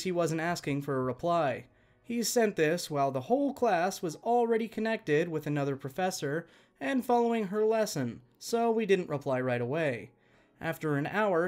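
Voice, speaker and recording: A man working as a channel host, reading online stories aloud in an expressive, conversational way and speaking directly to listeners. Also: high voice. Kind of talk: reading online stories aloud, scripted narration with chatty asides.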